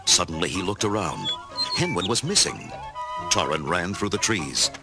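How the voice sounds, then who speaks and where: A man's voice: low voice, sing-song, moderate pace, over loud music. A man reading dramatic scripted narration for a children's storybook.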